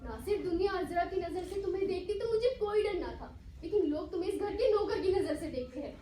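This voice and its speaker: An actress playing an anxious, lovestruck young daughter in a stage play, with earnest, formal theatrical phrasing. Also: female voice, extremely high pitch, animated, brisk, warm tone. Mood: anxious, tender. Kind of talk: Hindi-Urdu stage play acting. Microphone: far from the actors. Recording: echoey hall, distant mic, faint noise.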